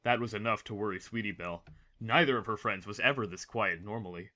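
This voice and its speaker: A man's voice: lowish voice, fast talker, bright, forceful tone. A man reading scripted, dramatic story narration as the narrator of an audio drama.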